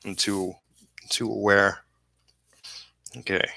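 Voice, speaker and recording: Slow-talking man, bright forceful voice, halting. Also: lowish voice, little pitch movement, vocal fry, no room sound. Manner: halting, trailing off mid-thought. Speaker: comic book inker live streaming. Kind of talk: casual rambling livestream chat while drawing.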